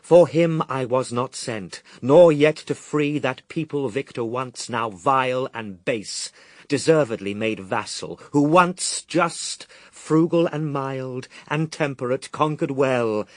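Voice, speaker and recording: An actor playing Jesus as a stern, steadfast Son of God in a verse radio drama, solemn, declamatory and disdainful. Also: male voice, medium pitch, takes it slowly, dry recording, natural voice. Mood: scornful, resolute.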